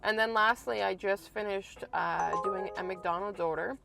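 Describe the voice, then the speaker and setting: A woman sounding raspy and congested from a cold, her mid-pitched voice often dipping into gravelly fry. She vents frankly to her viewers about delivery work, restarting her sentences as she goes. Faint music plays under her voice. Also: steady tone, moderate pace, poor audio. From Canada. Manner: frank, exasperated ranting with many restarts. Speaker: food delivery driver and vlogger. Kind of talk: casual vlog talk to camera.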